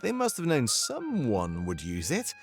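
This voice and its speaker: A man voicing a warm, cocky and informal rebel general in a visual novel. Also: medium pitch, wildly swooping pitch, measured pace, soft background music. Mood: assured.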